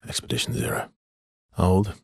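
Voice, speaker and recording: An actor playing a weary, guarded immortal expeditioner in a dramatic video game, with a husky, breathy voice and an understated, hesitant, earnest delivery. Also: male voice, moderate pace, dry recording, clean studio sound, close to an everyday voice. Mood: calm, guarded. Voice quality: husky, breathy, gravelly low voice.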